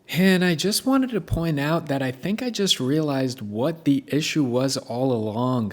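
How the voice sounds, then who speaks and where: Male tutorial host, medium pitch, conversational and instructional, walking viewers through software steps. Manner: conversational, explains step by step. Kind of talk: casual screen-share software tutorial.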